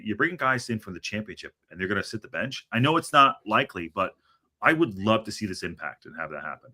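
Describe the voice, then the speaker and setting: A man hosting a football podcast, talking in an animated, rambling way with a lot of restarts. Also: lowish voice. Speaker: podcast host. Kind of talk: casual chat.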